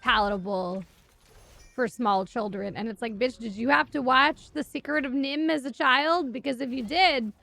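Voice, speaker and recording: Casting: young female streamer, slightly high voice with vocal fry, wry and sweary, dry close mic. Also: normal speed, sharp tone, dry recording, faint music behind. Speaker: game streamer. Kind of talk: casual live stream chat while gaming.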